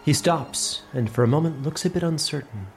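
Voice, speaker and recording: A man's voice: a little high, moderate pace, faint music behind. A man giving scripted narration for a fantasy story.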